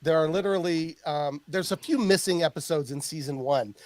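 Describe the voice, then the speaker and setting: A middle-aged man chats as a podcast host in a slightly higher-than-usual voice. He rambles, restarts sentences and fills gaps with ums and laughs. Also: a little fast. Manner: rambling, lots of fillers and restarts, laughs often. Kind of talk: casual chat.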